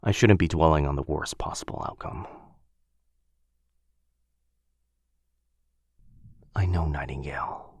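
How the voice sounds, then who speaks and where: A man playing a gentle, protective vampire lover in an intimate boyfriend ASMR roleplay, tender and hushed, with a breathy, husky voice. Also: sits low, no echo. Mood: worried, apologetic. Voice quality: breathy, husky, often whispering. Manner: tender, hesitant, reassuring, hushed. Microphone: close microphone.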